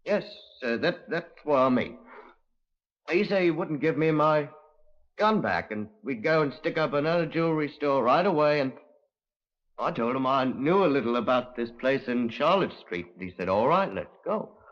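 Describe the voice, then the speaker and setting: Man playing a dim, high-pitched petty crook. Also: animated, normal speed, natural voice. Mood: nervous, deferential. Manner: hesitant, deferential, ungrammatical. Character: dim, meek young male petty crook confessing. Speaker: actor in a radio crime drama. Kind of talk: 1950s radio drama acting. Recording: dull lo-fi 1950s radio recording.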